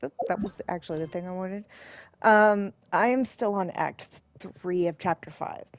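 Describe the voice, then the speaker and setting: A woman's voice: lowish voice, moderate pace. A woman streamer giving casual let's play commentary in a rambling, hesitant, self-deprecating way. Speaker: game streamer, host.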